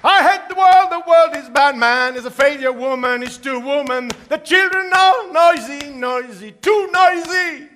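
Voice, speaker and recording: Male storyteller doing a gloomy villager, loud high sing-song moaning, slow. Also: exaggerated voice. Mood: loud grumbling. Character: gloomy grumbling village man, chanting complaints. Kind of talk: storytelling with chanted refrain.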